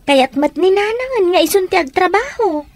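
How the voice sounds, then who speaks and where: A woman's voice: very high-pitched, lively intonation, moderate pace. A woman playing a young daughter troubled by her parents' quarrels in a radio drama. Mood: concerned. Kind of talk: radio comedy drama acting.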